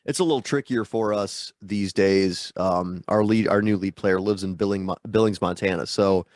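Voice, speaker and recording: A man with a fairly low voice talks loosely over a video call, his nose stuffed up from a sinus infection. He rambles and pokes fun at himself, with plenty of fillers. The sound is dry and close. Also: moderate pace, darkish tone, no echo. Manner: rambling, self-deprecating, lots of fillers. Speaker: guest musician. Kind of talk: casual interview chat.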